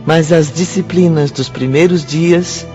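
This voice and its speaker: A man narrating a radio drama as a composed, solemn storyteller, formal and measured. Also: on the high side, steady tone, mellow, natural voice. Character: composed, solemn male storyteller of a spirit novel. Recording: dull audio with music bed.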